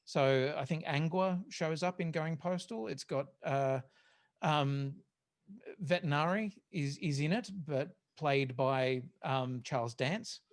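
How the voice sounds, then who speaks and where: A podcast panellist chatting casually in a group discussion, with a hesitant, halting delivery. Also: medium pitch.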